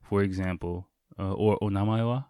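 A man with a fairly deep voice talks as the host of a casual solo podcast. His delivery is informal and conversational, with loose swearing. The sound is dry, with very little room in it. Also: fairly even intonation, normal speed, dark tone, clean tone, no echo. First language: English.

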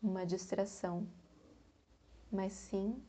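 Brazilian lady doing guided meditation, super slow and calm, dark mellow breathy voice. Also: medium pitch. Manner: slow, soft, calm, with long pauses.

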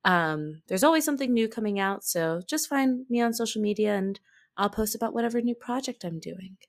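A woman guesting on a podcast, chatting casually in a playful, expressive way. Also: medium pitch, fairly quick, gravelly. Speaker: podcast guest, tabletop roleplay performer. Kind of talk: casual chat.

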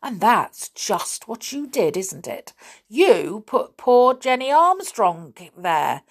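A big storybook policeman, acted out by a woman narrator. He speaks up loudly and sternly, with righteous, accusing authority. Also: fairly high pitch, big pitch swings, slow pace, voice pushed up, heavily acted.

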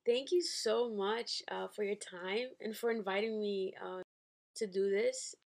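A woman's voice: medium pitch, measured pace, creaky. A woman in her twenties from the Dominican Republic and New York, chatting casually as a guest in a hesitant, rambling way. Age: 20s. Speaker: podcast guest. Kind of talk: casual chat.

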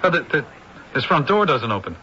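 Irritable movie boss in a radio play, sharp voice, very animated, dull tape. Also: male voice, a little high, fairly quick. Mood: exasperated. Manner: exasperated, brusque, emphatic. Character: harried, irritable film director, skeptical and impatient. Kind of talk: old-time radio drama acting. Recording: dull, faintly noisy radio drama recording.

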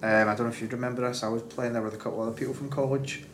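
A man's voice: medium pitch, fairly even intonation, rapid-fire, fairly bright tone. A Scottish man hosting a podcast, asking rambling questions padded with fillers. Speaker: podcast host. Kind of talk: casual interview chat. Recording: echoey room.

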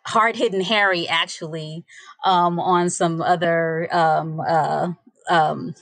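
A woman's voice: on the low side, steady tone, slow pace, fairly bright tone, no room sound. A woman guest storyteller in a podcast interview, talking casually and unhurriedly with many fillers.

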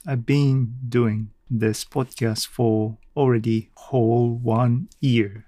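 A man's voice: mid-range voice, warm tone, no echo. A man hosting a casual solo podcast, speaking hesitantly and often correcting himself. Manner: hesitant, halting, self-correcting. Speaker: podcast host.

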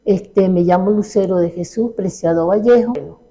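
A woman with a Venezuelan accent giving an informal testimonial, halting and often checking with tag questions. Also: mid-range voice, mellow. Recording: slightly dull lo-fi sound.

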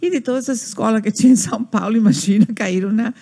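A woman's voice: on the high side, quick pace, vocal fry, warm tone, a little hiss or hum. Older woman with an Argentinian accent. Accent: Argentinian Spanish. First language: Spanish. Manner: storytelling with acted quotes and frequent restarts. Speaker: guest. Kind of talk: casual interview chat.

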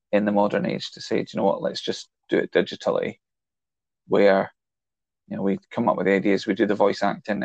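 Gravelly middle-aged man musing at length. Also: on the low side, darkish tone, no echo, dull audio. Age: 40s. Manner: explaining at length, playfully teasing. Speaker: podcast co-host. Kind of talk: casual film chat.

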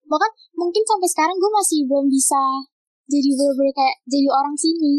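A woman's voice: high-pitched, brisk, a little mellow, dry recording. A teenage girl from Jakarta chatting casually, her slang carrying a slight Surabaya lilt, trailing off into fillers. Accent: slight Surabaya lilt over Jakarta slang. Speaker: guest. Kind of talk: casual chat on a call.

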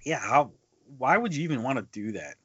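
A man's voice: mid-range voice, sing-song, fairly quick, sharp tone, slightly rough, no echo. A man co-hosting a casual movie podcast, speaking emphatically and repeating himself to drive points home. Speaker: podcast co-host. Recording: dull lo-fi podcast audio.

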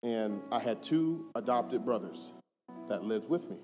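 A man in his thirties giving a personal testimony, earnest and conversational, moving between hushed and emphatic. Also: lowish voice, lively intonation, measured pace. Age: 30s. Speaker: church speaker giving testimony. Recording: reverberant hall with faint music.

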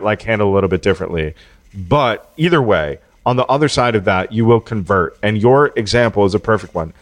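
A podcast host with a fairly low voice speaks in an earnest, encouraging way. The recording is dry and close. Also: male voice, no room sound. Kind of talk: casual conversation.

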